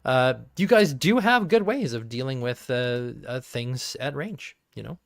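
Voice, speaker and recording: A man's voice: mid-range voice, animated, unhurried. A man acting as game master in a live tabletop game, narrating turns in a chatty way and thinking rules through aloud. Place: home streaming setup.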